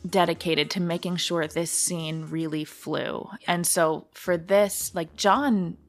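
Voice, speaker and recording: A woman's voice: fairly low pitch, fairly even intonation, slow pace, soft background music. A woman chatting casually as a podcast guest about her creative work, earnest and enthusiastic. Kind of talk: casual interview chat.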